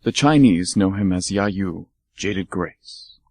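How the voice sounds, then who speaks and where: A man co-hosting an amateur radio theatre show, reading mock-epic narration in a solemn, deliberate way. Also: low-pitched, a little mellow, no echo. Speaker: radio theatre co-host and performer.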